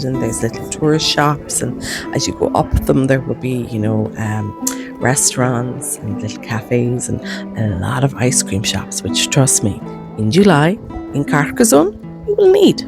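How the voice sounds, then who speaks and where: A woman's voice: very deep voice, animated, moderate pace, gravelly. A woman giving a casual, chatty travel voice-over, explaining things as she goes. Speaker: travel vlogger. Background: background music with guitar.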